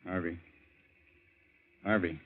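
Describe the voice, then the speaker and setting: A man's voice: deep voice, steady tone, takes it slowly, slightly rough, full character voice. An actor playing a stern older male doctor in a 1940s radio drama, curt, authoritative and impersonal. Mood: brisk, clinical. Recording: very muffled 1940s radio recording.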